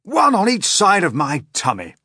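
A man voicing an old, gentle male grasshopper who lectures kindly and is proud of his music. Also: high voice, wildly swooping pitch, moderate pace, slightly rough, no echo, studio quality, voice pushed up, full character voice. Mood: teasing. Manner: kindly, lecturing, fond of his own skill.

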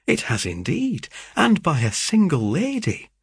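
An excitable young sister, played by a male reader in a classic storybook reading. The delivery swoops with gossipy emphasis over a slightly husky, dry-recorded voice. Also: on the high side, unhurried, dry recording, pitched above the performer's own voice, exaggerated voice. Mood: excited, gossipy. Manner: chattering, breathless with gossip, emphatic. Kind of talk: dramatised audiobook reading of a classic novel.

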